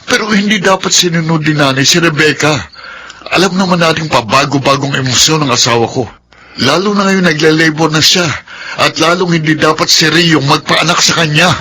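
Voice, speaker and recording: A man's voice: on the high side, brisk, background noise, close to an everyday voice. A man playing a troubled young husband and father in a Filipino radio drama, earnest and emotive. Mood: worried.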